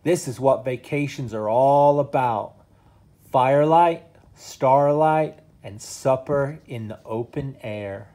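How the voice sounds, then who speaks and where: A man's voice: mid-range voice, slow pace, dark tone, close to an everyday voice. A man reading aloud and voicing a nervous, fussy father bear in a storybook.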